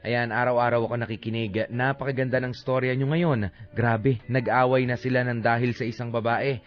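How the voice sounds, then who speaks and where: Filipino man, radio DJ, medium pitch, casual advice talk, conversational halting delivery. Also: level tone, dull audio. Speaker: radio DJ co-host. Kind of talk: casual radio advice banter, reading listener messages.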